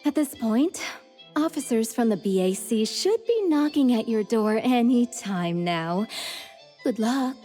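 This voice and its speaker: Mellow, sardonic businesswoman. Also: on the high side, animated, measured pace, faint music behind. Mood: smugly cutting. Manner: composed, sardonic, formal. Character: cool, smug, cutting businesswoman. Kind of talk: anime game voice acting.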